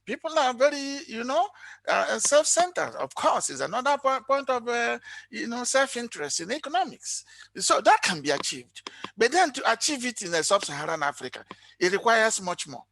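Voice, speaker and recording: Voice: medium pitch, sing-song, normal speed, creaky, a bit bright. A conference participant speaking off the cuff on an online call, explaining earnestly and posing rhetorical questions. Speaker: forum participant, economist. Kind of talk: unscripted conference intervention.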